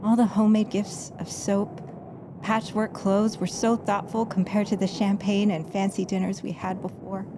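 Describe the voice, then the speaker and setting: A woman's voice: medium pitch, little pitch movement, normal speed, noisy background, natural voice. A woman playing an embittered, defiant stage magician in an audio drama, narrating her own story with dramatic intensity. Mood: wistful. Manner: dramatic, swings from hushed narration to angry outbursts. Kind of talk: audio drama acting with first-person narration.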